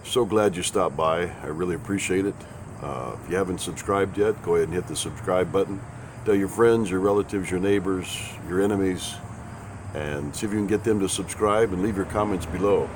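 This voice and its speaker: Raspy low-voiced man with a head cold. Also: fairly quick, noisy background. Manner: folksy, sarcastic, rambling. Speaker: host. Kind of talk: casual spoken commentary.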